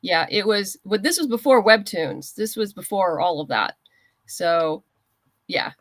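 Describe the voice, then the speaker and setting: A woman's voice: mid-range voice, expressive, measured pace, no echo, studio quality. A woman being interviewed as a writer, giving explanatory, conversational answers.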